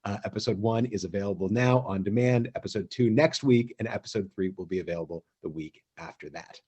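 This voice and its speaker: A man hosting a live theater company show on a video call, speaking appreciatively in a halting way and making announcements. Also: lowish voice, warm tone, husky.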